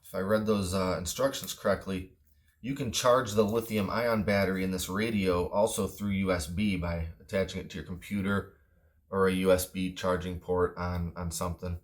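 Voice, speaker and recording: A man with a low-pitched voice talks through a product review casually. His delivery is offhand and plain-spoken, with some hesitation as he goes. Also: fairly even intonation, moderate pace. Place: indoors at a wooden table.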